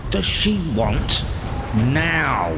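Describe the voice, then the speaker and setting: An actor playing a wrathful magical fish prince of the sea in a fairy tale audio drama, booming and stern. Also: male voice, sing-song, extremely slow, background noise, heavily acted. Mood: stern anger. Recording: loud storm and thunder effects behind. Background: rain and thunder.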